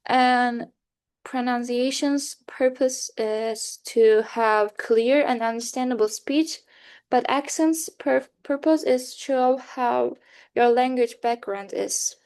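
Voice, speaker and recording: A woman's voice: a little high, takes it slowly, darkish tone, clean tone. A young woman from Turkey with a Turkish accent, giving a class presentation in a hesitant way, with fillers and self-corrections. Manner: hesitant, many fillers and restarts. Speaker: student presenter.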